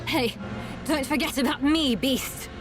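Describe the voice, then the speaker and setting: A woman's voice: high voice, measured pace. An actress playing a bold, defiant female knight fighting in a fantasy audio drama. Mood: challenging. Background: music.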